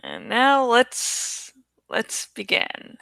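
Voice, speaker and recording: Female storyteller, unhurried and deliberate, crisp delivery in a close, dry recording. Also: on the high side, wildly swooping pitch, sharp tone, dry recording. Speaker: host reading a novel aloud. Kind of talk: expressive audiobook-style narration.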